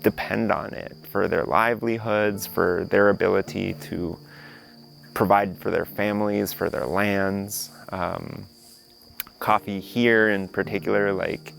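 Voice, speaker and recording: A man speaks calmly and reflectively. His low voice has a gravelly fry running through it. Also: a little slow, slight background noise. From Honduras. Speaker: coffee industry interviewee. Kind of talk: documentary interview.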